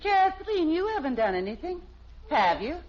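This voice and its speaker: A sweetly polite, gushing middle-aged American lady in a 1950s radio comedy. Her high voice lilts widely, through the heavy noise of an old broadcast. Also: very animated, moderate pace. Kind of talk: 1950s radio sitcom acting. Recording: very noisy, muffled old radio recording.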